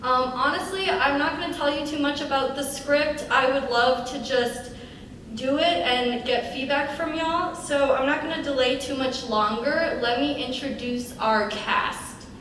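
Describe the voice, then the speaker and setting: High-voiced young woman in an echoey hall. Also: unhurried, fairly bright tone. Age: young adult. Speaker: showrunner introducing a cast at a table read. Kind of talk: live event introduction to an audience. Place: large echoey room, live event. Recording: noisy, very echoey hall, distant mic.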